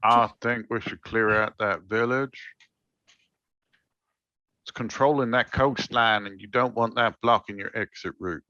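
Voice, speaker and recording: A man's voice: lowish voice, fairly even intonation, unhurried, bright, forceful tone, no room sound, lo-fi sound. A man playing a tabletop game, pushing for his plan decisively. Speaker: player. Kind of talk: casual game discussion.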